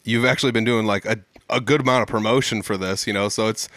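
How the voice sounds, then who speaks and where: A man's voice: fairly low pitch, steady tone, fast, fairly bright tone, no room sound. A young man hosting a casual podcast interview, asking easygoing, chatty questions over a video call. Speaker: podcast host. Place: home room. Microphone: studio mic on a boom, headphones on.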